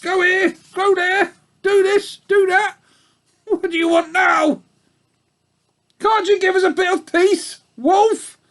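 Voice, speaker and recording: A man voicing a grumpy old ewe with a hoarse, complaining voice and rustic grammar. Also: very high-pitched, fairly even intonation, slow pace, pauses often, a bit bright, pitched above the performer's own voice, exaggerated voice. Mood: grumbling.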